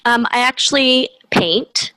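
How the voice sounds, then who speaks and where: A woman's voice: fairly high pitch, slow pace, bright, forceful tone, dry recording, rough recording. A woman, a mortgage professional whose first language was Spanish, chats as an interview guest in an upbeat way, laughing between points. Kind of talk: conversational interview.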